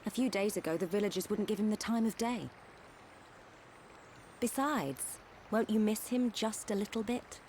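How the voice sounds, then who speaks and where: A woman plays a kind, level-headed game companion. Her voice sits at a medium pitch. There is slight noise behind her. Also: lively intonation, normal speed, long pauses, a little mellow, lightly acted. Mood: gently teasing. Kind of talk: video game cutscene acting.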